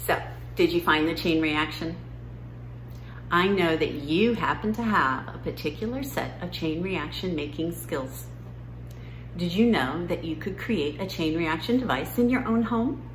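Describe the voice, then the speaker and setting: A woman's voice: lowish voice, lively intonation, measured pace. A middle-aged woman talking to children as an educational story time presenter, recorded indoors in a living room. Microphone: camera a few feet away. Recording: roomy room sound, faint noise.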